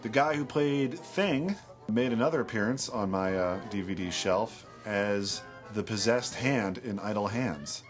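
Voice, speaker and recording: A man's voice: on the low side, a little slow, soft background music. A man co-hosting a podcast who chats casually about films. He rambles with enthusiasm and often trails off mid-thought. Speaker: podcast co-host. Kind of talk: casual film discussion.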